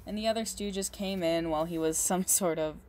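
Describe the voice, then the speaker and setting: A woman playing a big, muscular goth girlfriend, possessive and unhinged yet doting, in an intimate, halting ASMR roleplay monologue. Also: on the low side, a little mellow, lightly acted. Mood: casually menacing. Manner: slow, halting, doting, menacing under sweetness.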